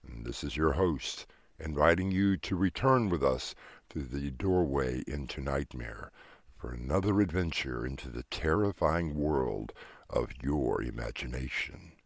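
A man playing the sinister host of a horror audio drama, speaking slowly and gravely. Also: rumbling low, animated, no room sound, studio quality, heavily acted. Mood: ominous. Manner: slow, sinister, measured delivery. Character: ominous male horror show host, grim and sly. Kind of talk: horror radio play narration. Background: music.

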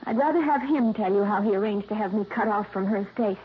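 An actress in her twenties playing a resentful, sharp-tongued young woman in a 1950s radio drama. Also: medium pitch, fast, natural voice. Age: 20s. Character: bitter young woman, niece cut from will. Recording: tinny old radio broadcast, faint hiss.

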